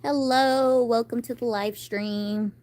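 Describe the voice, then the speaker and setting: A woman's voice: a little high, fairly even intonation, slow, darkish tone. A woman in her thirties chatting casually on a live stream, rambling about her day and answering viewers. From United States. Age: 30s.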